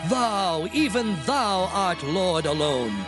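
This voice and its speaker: A fervent Levite priest prays aloud before the people in a high-pitched voice. The delivery is reverent and declamatory, a scripture drama with music clearly playing behind. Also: male voice, animated, slow. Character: Levite leading the people in fervent public prayer.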